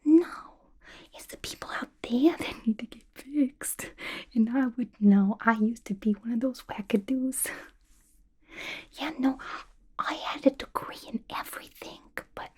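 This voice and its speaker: A woman's voice: on the high side, unhurried, a little mellow. A woman playing a manic, chatty, mischievous young female villain in an asylum, in a playful, slangy ASMR roleplay whisper. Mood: manic, defensive. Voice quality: breathy whisper. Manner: playful, slangy, conspiratorial gossiping.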